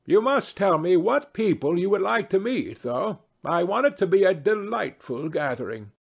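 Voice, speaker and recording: Man voicing a high, gushing old society hostess. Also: big pitch swings, gravelly, breathy edge, exaggerated voice. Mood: delighted, gushing. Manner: effusive, drawn-out, theatrical society chatter. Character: witty old aristocratic hostess, gushing and imperious. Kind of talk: dramatised classic novel reading.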